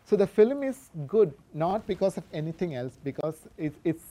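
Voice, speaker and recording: A woman presents a scholarly paper, reading out passages and explaining them in a halting way, often correcting herself. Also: fairly low pitch, animated, dark tone. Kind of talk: scholarly lecture read from a paper with spoken asides.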